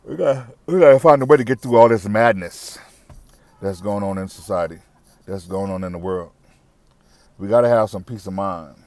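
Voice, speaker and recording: A man's voice: on the low side, normal speed, a little mellow, no echo. A middle-aged man vlogging from a parked car through an earbud mic, ranting bluntly with slang and repeating his points. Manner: blunt, repetitive, preachy rant with slang and cussing. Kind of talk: casual commentary to camera. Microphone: wired earbud mic.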